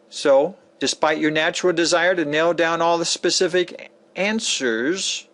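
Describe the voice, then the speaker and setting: Man voicing solemn holy teacher, slow and deliberate, preachy, admonishing, widely swinging intonation, dramatic lecture reading. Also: fairly high pitch, creaky, fairly bright tone, heavily acted.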